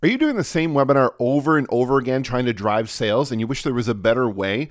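A man's voice: medium pitch, expressive, fast, dry recording. A man with a cold, sounding slightly nasal, hosts a solo podcast in a casual coaching manner with many fillers and restarts. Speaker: podcast host.

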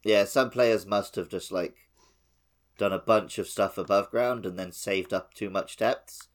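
Low-voiced man in casual podcast chat. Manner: chatty, quick reactions and musing asides. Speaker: podcast co-host. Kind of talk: casual game discussion.

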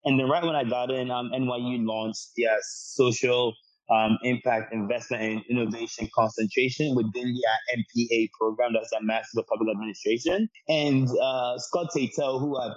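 A husky-voiced young man from Ghana talks through his path as a podcast guest, earnest and chatty, often starting a phrase twice. The sound is dull and lo-fi, as if his mic cuts the highs. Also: steady tone, normal speed. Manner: earnest, talkative, frequent restarts. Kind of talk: storytelling interview answer.

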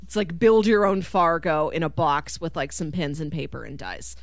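A woman chatting casually as a podcast co-host about media, animated, often acting out imagined reactions and laughing through her words. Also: sits low, moderate pace, dry recording, studio quality. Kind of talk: casual film discussion.

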